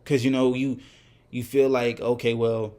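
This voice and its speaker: A man's voice: mid-range voice, little pitch movement, a little slow, mellow. A man in his twenties talking casually to camera, candid and reflective, rambling with many fillers and restarts. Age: 20s. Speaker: vlogger. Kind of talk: casual unscripted talk to camera.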